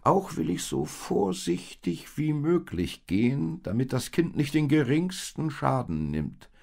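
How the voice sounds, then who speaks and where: A man's voice: mid-range voice, big pitch swings, unhurried, gravelly, a little mellow, pitched above the performer's own voice, full character voice. An earnest little donkey, as a man reads him. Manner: earnest, promising. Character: small loyal donkey, earnest and careful. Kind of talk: storybook reading.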